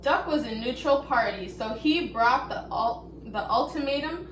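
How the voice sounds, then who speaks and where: A woman reading a children's story aloud, expressive and deliberate, stopping to prompt young listeners with questions. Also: high voice. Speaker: storybook reader leading children. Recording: roomy echo, faint background noise.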